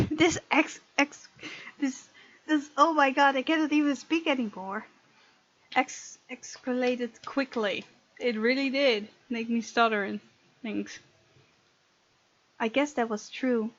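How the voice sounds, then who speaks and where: A woman's voice: high-pitched, slow, long pauses, a bit bright. A woman hosting a gaming video, commenting as she plays and reads a visual novel aloud. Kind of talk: let's play commentary and dramatic reading aloud. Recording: muffled lo-fi mic, music underneath. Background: game music.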